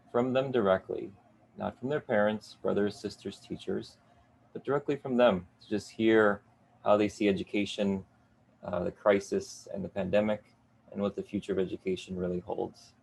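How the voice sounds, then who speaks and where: Gravelly low-voiced man moderating a panel. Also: a little hiss or hum. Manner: upbeat, warm in addressing guests, frequent "uh" fillers. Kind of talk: hosting an online panel. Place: basement home office.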